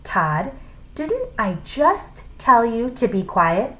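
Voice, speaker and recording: Woman acting out a snappish, menacing schoolteacher, wildly animated. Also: a little high, takes it slowly, voice pushed up. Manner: sharp, demanding, emphatic. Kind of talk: children's storybook character voice.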